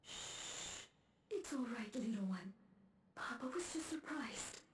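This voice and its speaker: An actress playing a lovestruck, fragile woman in a video game, speaking in a hushed, halting, wistful way. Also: on the high side, animated, distant mic, slight background noise. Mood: soothing, uneasy. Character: lovestruck, fragile woman confessing devotion to her commander, a mother. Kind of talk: dramatic video game monologue. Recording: roomy echo under music.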